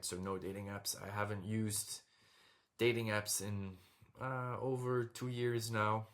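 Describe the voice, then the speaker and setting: A man's voice: fairly low pitch, a bit bright. A young man from Belgium, talking casually and directly to his viewers over slides, often checking in with "okay?". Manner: direct, repeats "okay?" and "all right?" to the viewer. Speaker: dating coach vlogger.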